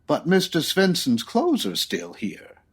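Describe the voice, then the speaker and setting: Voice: mid-range voice, wildly swooping pitch, unhurried, breathy edge, full character voice. An actor playing an anxious, formal hotel manager who frets in dismay in a radio-style audio drama. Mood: alarmed. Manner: fretful, formal.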